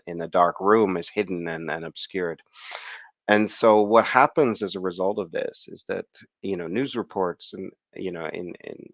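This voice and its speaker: A man speaking at an online conference, his voice croaky after the flu, hesitant with many fillers and restarts. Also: low voice, fairly bright tone, dry recording, highs cut off. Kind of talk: academic online talk. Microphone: video call.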